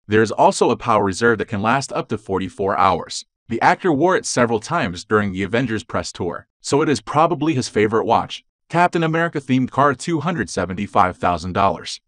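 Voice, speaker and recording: A man reading a scripted celebrity voice-over in an even, read-aloud delivery. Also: on the low side, fairly quick, few pauses, no room sound, clean studio sound. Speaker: narrator.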